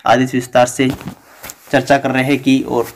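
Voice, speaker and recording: A man hosting a news channel, reading a bulletin aloud in a flat, halting way. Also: medium pitch, slight background noise.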